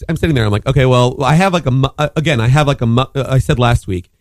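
A man's voice: mid-range voice, racing, no room sound, studio quality. A man telling a long story in casual chat, rambling with lots of fillers and restarts. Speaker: podcast co-host telling a story.

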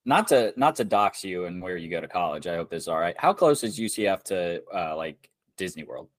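A man's voice: deep voice, big pitch swings, a little fast, dry recording, studio quality. A young man co-hosting a movie podcast over a video call, joking and teasing his guests. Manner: joking, teasing, curses casually. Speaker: podcast co-host. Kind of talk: casual podcast chat.

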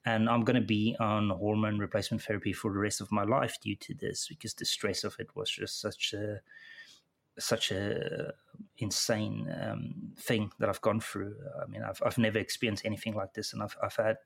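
Gravelly low-voiced South African man. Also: little pitch movement. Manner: halting, often repeats words while restarting. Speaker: interview guest. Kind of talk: personal account in conversation.

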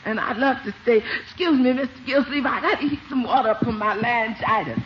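An American actress playing a devoted, stubborn housekeeper with folksy, emphatic talk in a 1950s radio comedy. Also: female voice, fairly high pitch, fairly quick, lightly acted. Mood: stubborn, playful excuses. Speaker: supporting actor in a radio comedy. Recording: noisy, muffled vintage radio recording.

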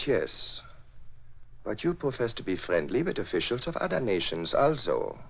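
An actor playing a stern, suspicious Nazi spymaster in 1940s radio drama style, with measured, emphatic delivery. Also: male voice, on the low side, creaky, exaggerated voice. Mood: stern warning. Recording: dull old radio recording.

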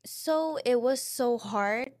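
A woman's voice: fairly high pitch, slow, no room sound, studio quality. Chatty young woman with an islander accent. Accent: Pacific islander and Filipino mix. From Saipan and Guam. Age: 20s. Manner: chatty, animated storytelling with acted-out quotes. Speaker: podcast guest. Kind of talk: casual interview chat.

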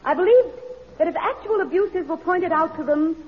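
A high, swooping female voice plays an idealistic, determined reformer. She speaks formally and emphatically in old radio drama style. The recording is dull and dated. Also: lively intonation, moderate pace. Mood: earnest, formal. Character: earnest, determined woman social reformer, idealistic and passionate. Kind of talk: vintage radio drama acting.

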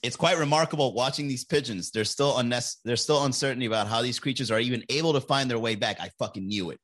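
A man's voice: mid-range voice, fast talker, a bit bright. A man hosting a casual, profane live stream, joking loosely and chatting with viewers.

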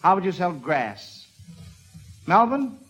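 A man hosts a vintage radio quiz in a fairly high voice. He addresses children like a class, pausing and hesitating between phrases. It sounds like an old broadcast. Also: very animated, music in the background. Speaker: quiz show host.

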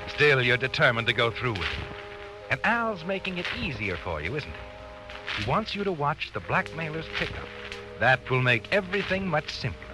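Sinister, swooping old-time radio suspense narrator. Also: male voice, medium pitch, poor audio. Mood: taunting, knowing. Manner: insinuating, taunting rhetorical questions. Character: sinister, knowing male narrator needling a guilty man. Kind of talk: 1940s radio suspense narration in second person. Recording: old radio recording with music behind. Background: dramatic music.

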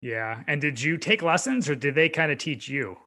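A man's voice: fairly high pitch, lively intonation, moderate pace, pushed and bright. A man from upstate New York with an American accent, interviewing a guest as a podcast host in an informal, encouraging way. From upstate New York, United States. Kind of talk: conversational interview.

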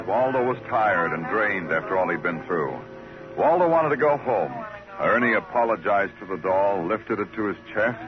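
An American actor playing a wry, world-weary, laconic police detective narrating an old-time radio drama. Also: male voice, fairly low pitch, natural voice. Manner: laconic, hard-boiled, poetic. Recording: dull old radio recording with music.

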